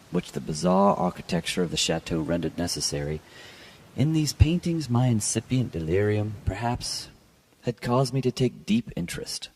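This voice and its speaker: A man giving a dramatic, scripted reading of classic literature as a podcast host, with a gravelly, husky voice and a measured, formal, theatrical delivery. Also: deep voice, darkish tone. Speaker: podcast host and story reader.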